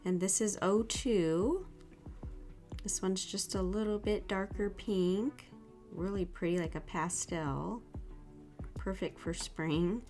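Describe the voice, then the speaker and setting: Soft-spoken woman with a sore throat. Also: lowish voice. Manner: soft, gushing, unhurried. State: a little sick, slightly irritated throat. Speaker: nail art channel host. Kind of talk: casual product review chat.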